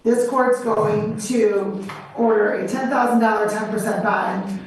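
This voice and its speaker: A woman presiding as a judge in court, speaking in a firm, measured way and stopping people to question them. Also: medium pitch, fairly even intonation. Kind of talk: court proceedings over video. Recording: echoey and distant with faint noise.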